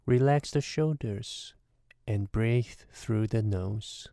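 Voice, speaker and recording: A man guiding a meditation, giving measured instructions in short phrases with deliberate pauses. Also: fairly low pitch, creaky, warm tone, no room sound, studio quality. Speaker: meditation guide. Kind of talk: guided meditation narration.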